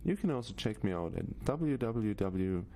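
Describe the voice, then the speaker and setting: A middle-aged Austrian man with a German accent and a low, gravelly voice. His pitch rises and falls a lot as he moves through a scripted course introduction, and he keeps opening his points with "Now" in a steady, list-like rhythm. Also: normal speed. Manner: steady, list-like delivery with frequent "Now" openers. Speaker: course instructor.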